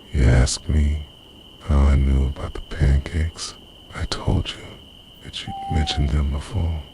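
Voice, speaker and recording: Obsessive lover for ASMR roleplay, male actor: slow, intimate half-whisper, devoted, night insects audible behind. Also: rumbling low, gravelly, noisy background, heavily acted. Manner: hushed, slow, intimate, often slipping into a whisper. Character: obsessive, devoted boyfriend who secretly time-travels, confessing to lover.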